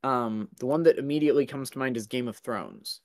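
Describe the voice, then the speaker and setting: Male panelist, medium pitch, informal and emphatic debating style. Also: a little fast. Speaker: podcast panelist. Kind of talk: casual chat.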